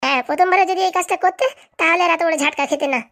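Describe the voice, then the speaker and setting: A woman playing a sharp-tongued, scheming young village daughter in a comic cartoon, sassy and matter-of-fact. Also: very high voice, rapid-fire. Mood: brisk, conspiring.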